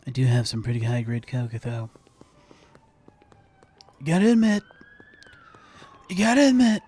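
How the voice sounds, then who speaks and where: A man's voice: medium pitch, big pitch swings, a little slow, darkish tone, slightly rough. A young man giving casual gameplay commentary, muttering to himself, humming and repeating phrases. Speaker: gaming streamer.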